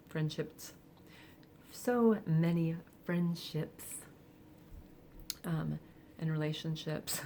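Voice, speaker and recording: Low gravelly woman in her forties. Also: a little hiss or hum. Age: 40s. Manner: candid, reflective, with soft laughs and hesitant fillers. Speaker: vlogger. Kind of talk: personal confessional monologue.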